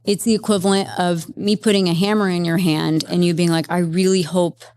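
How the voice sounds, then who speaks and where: A woman therapist speaking as a podcast guest, explaining at length in a lively, conversational way with many fillers. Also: on the low side, fairly quick, clear, resonant tone. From Las Vegas, Nevada, USA. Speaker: guest, psychedelic integration therapist. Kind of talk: conversational interview.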